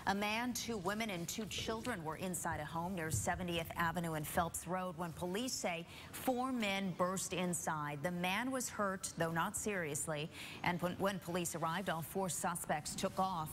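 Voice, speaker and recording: A woman's voice: fairly low pitch, moderate pace, noisy background. A woman with an American accent reading a scripted newscast as a news anchor.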